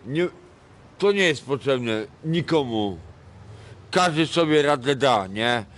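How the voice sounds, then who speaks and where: A middle-aged man from Poland, high and rambling, swearing a lot in a casual talk on a city street at night. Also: lively intonation, slow, fairly bright tone. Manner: crude and sweary, rambling, mixes in broken English. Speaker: homeless man interviewed on the street. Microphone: vlogger's handheld camera mic.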